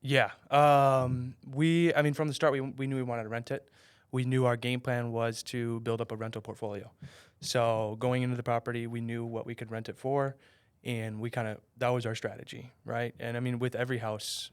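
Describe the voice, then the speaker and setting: A man's voice: mid-range voice, flat delivery, normal speed, smooth, no echo. A man in his twenties answering interview questions in a casual, hedging way, with lots of fillers. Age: 20s. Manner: soft-spoken, hedging with many fillers. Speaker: real estate investor guest.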